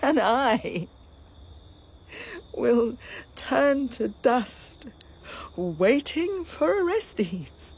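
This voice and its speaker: An actress playing a grieving, vengeful young princess in a classical tragedy, giving an impassioned, bitter lament that sometimes drops to a whisper. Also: female voice, fairly high pitch, expressive, very slow, pauses often, darkish tone, husky, exaggerated voice. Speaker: stage actor. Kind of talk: classical tragedy stage acting.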